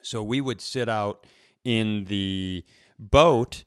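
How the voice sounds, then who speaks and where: A man's voice: on the low side, very slow, no echo, clean studio sound. A middle-aged man co-hosting a comedy podcast, telling stories casually, swearing freely and acting out quotes. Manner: animated retelling with acted quotes, casual swearing. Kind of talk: casual comedic storytelling chat.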